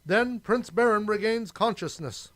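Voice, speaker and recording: A man's voice: sits high, a little slow, fairly bright tone. A man narrating a scripted adventure serial in old-time radio style.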